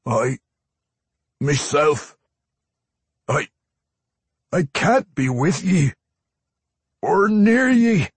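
Gruff, high-straining dwarf vampire. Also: male voice, very animated, takes it slowly, no echo, exaggerated voice. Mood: pleading, hungry. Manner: growling, exclamatory, rustic archaic speech. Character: gruff, tormented dwarf vampire, old battle-hardened friend.

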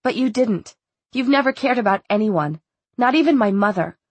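A woman's voice: fairly high pitch, animated, normal speed, slightly rough, no room sound. A woman voicing a distraught, defiant teenage heroine in an audiobook, speaking with accusing emphasis.

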